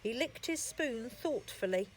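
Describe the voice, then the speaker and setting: A woman storyteller narrating a scripted children's story in an expressive, deliberate way. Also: fairly high pitch.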